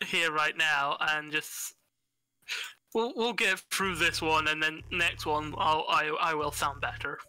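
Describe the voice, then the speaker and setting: Slow-talking man with a sharp, bright voice. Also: a little high, fairly even intonation, smooth, low-quality recording. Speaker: podcast co-host. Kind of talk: casual livestream podcast chat. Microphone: online voice call.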